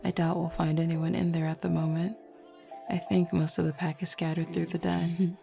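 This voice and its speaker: A woman voicing a flirty, self-assured female werewolf in an intimate roleplay audio, teasing softly and without hurry. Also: fairly low pitch, little pitch movement, moderate pace, creaky, soft background music. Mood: reassuring.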